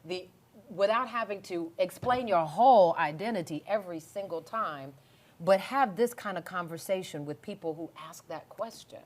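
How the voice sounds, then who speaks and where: A woman's voice: fairly low pitch, moderate pace. Woman hosting a podcast panel. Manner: conversational, guiding the panel with questions. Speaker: podcast host. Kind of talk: panel discussion. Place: podcast studio.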